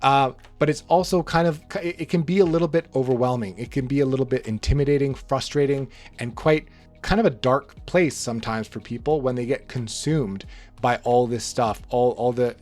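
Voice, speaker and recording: Rambling man, slightly hoarse. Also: mid-range voice, moderate pace, soft background music. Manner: relaxed, rambling, frequent restarts and fillers. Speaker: book channel host. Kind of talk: rambling casual monologue to camera.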